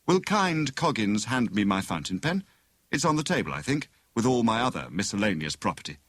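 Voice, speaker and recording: A cheerful, flippant young gentleman adventurer, acted by a male narrator, full of breezy storybook banter. His pitch bounces about and the sound is dry and close. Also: very animated, brisk, no echo. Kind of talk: dramatised audiobook dialogue.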